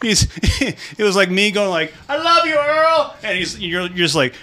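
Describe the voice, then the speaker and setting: A man's voice: high voice, sing-song, normal speed, pushed and bright. A man co-hosting a podcast, chatting casually and enthusiastically, often laughing. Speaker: podcast co-host. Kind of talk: casual chat.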